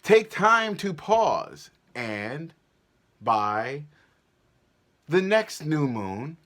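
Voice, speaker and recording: Guy doing a solemn shakespeare duke, slow, forceful, very theatrical. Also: a little high, sing-song, voice pushed up, heavily acted. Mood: grave. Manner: stately, declamatory. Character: grand, commanding male duke, measured and solemn. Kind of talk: theatrical Shakespearean stage reading.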